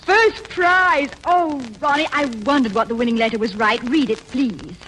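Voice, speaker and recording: A woman acting in a 1940s radio comedy as a refined, amused wife with a genteel, drawn-out delivery. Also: high-pitched, fairly bright tone. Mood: earnest. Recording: noisy 1940s radio recording.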